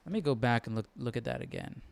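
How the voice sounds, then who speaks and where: A man with a clear, smooth voice talks in a casual, unscripted way, as a study host would. He muses aloud and puts questions to himself between bits of reading. The sound is close and dry. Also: mid-range voice, lively intonation, quick pace, dry recording. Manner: musing aloud, asking questions. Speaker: host of a Bible study stream.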